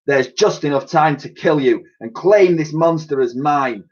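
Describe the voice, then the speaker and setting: A man's voice: fairly high pitch, measured pace, pitched above the performer's own voice. A man voicing a menacing, gloating professor villain in a children's storybook, on a lo-fi recording. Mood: gloating menace. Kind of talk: storybook character voice. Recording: dull, lo-fi recording.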